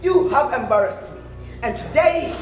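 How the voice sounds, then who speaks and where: A woman's voice: sits high, expressive, moderate pace, soft background music. An actress playing a proud, melodramatic wife and mother in a stage play, with florid, emphatic speech. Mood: pleading, reproachful. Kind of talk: loud declamatory stage play delivery. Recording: very echoey hall, distant mic, audience.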